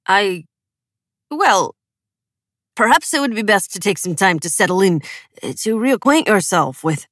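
A woman's voice: medium pitch, big pitch swings, measured pace, fairly bright tone, no echo, clean studio sound. A woman voicing a cautious, anxious male cult elder from a storybook, pleading in a raised voice.

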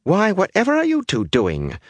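A man's voice: on the low side, very animated, moderate pace, no room sound, pitched above the performer's own voice. Man doing a motherly village innkeeper lady, storybook style, coaxing but firm, voice lifted and swooping. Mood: scandalized, amused. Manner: coaxing, soothing yet firm.

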